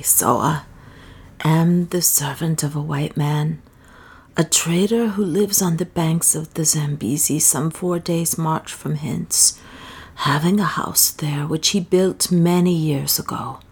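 A woman's voice: sits low, steady tone, slow pace, darkish tone, heavily acted. A woman voicing a haggard, starving old woman who speaks in archaic, pleading half-whispers. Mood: earnest. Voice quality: creaky, breathy whispering. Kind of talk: audiobook character reading.

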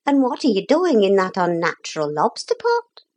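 A woman voicing a noble, hearty eldest gull, master of the sea birds, in a brisk and gallant storybook manner. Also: medium pitch, wildly swooping pitch, a little mellow, voice pushed up. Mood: brisk challenge.

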